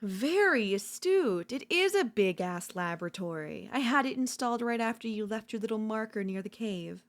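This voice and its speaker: A woman playing an obsessive, domineering yandere in a dark ASMR roleplay, seductive and smug, slow and teasing, slipping into whispers. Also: a little high, lively intonation. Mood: gloating, excited. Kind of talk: dark ASMR audio roleplay, intimate dramatic acting.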